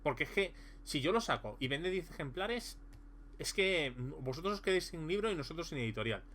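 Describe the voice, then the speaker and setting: A man's voice: fairly high pitch, lively intonation, fairly quick, fairly bright tone, a little hiss or hum. A man casually reviewing a tabletop role-playing game, rambling with many fillers and restarts. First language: Spanish.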